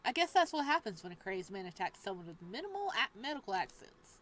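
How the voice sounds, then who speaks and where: Young woman, mid-pitched, casual game streamer: soft narration reads, loud joking asides. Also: sing-song, fairly quick, a little hiss or hum. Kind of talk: reading a visual novel aloud with commentary.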